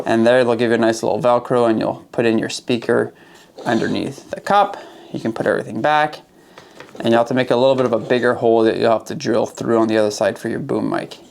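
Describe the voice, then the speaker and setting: A man's voice: medium pitch, steady tone, fast, gravelly, a little hiss or hum. A man from Canada giving a casual product review as a working crew boss, conversational, repeating phrases for emphasis. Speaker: arborist crew boss and video presenter.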